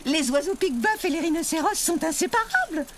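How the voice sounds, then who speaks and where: A woman's voice: extremely high pitch, lively intonation, a little fast. A woman voicing a small, friendly, chatty bird in a children's cartoon. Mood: perky, friendly. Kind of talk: children's educational cartoon acting. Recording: loud music behind the voice.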